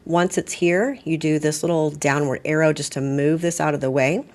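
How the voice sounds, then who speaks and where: A woman explaining software step by step in a conversational way, like a staff trainer walking through a screen. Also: sits low, steady tone, normal speed. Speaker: school administrator training staff. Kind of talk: informal software tutorial, step-by-step screen walkthrough.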